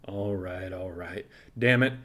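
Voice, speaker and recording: A man voicing a gruff, protective and skeptical animal crewman of a pirate ship, speaking flatly and tersely. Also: low voice, takes it slowly, heavily acted. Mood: grudging.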